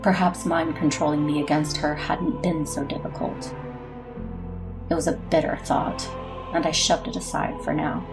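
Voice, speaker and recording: A low, gravelly voice of a brooding warrior woman sits over clear music. She narrates a space audio drama gravely, with inward resolve. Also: steady tone, moderate pace. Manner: grave, introspective. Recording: music under the voice.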